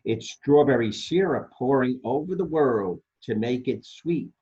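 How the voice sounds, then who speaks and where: A man's voice: medium pitch, lively intonation, unhurried, warm tone, husky, dull audio. A man voicing a dreamy, fanciful female toy stingray with a lilting, wondering manner in a children's picture-book reading.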